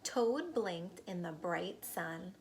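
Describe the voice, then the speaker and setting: A woman reading a storybook aloud to young children, expressive and pausing to ask the listeners questions. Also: on the low side, slight background noise.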